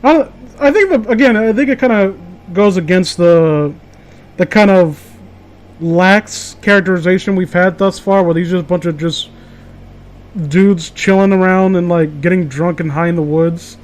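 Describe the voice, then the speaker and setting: A man's voice: sits high, moderate pace. A man hosting a casual show, reading amateur horror stories aloud and breaking off into rambling, opinionated, profane commentary.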